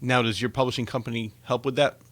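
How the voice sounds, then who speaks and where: A man's voice: medium pitch, fairly even intonation, quick pace, dry recording. A man hosting an interview show, giving scripted introductions and asking conversational questions.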